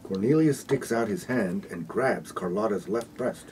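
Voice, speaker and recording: A man's voice: lowish voice, a little slow, darkish tone, a little hiss or hum, natural voice. A man acting as the announcer of a comedic radio play, narrating scripted stage directions. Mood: announcing.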